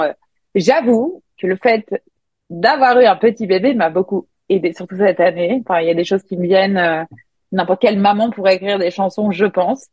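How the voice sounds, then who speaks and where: A woman's voice: medium pitch, darkish tone, breathy edge, dry recording. Woman singer talking casually over a muffled, dry line. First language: French. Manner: chatty, many restarts and fillers. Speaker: singer and songwriter. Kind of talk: casual interview chat.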